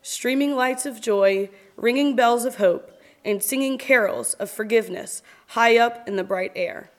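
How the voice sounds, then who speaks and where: Woman doing a solemn church poetry reading, kinda high voice, really slow, expressive with long pauses. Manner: measured, expressive recitation with deliberate pauses. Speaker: reader at a church service.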